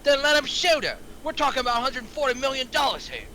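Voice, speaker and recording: An irritable, crude crime boss, played by a man at a table read. The voice is high and piercingly forceful, full of bluster and slangy cursing. Some background noise sits behind it. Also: big pitch swings, fast, exaggerated voice, rough recording. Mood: irritated, blustering. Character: foul-mouthed, irritable male crime boss.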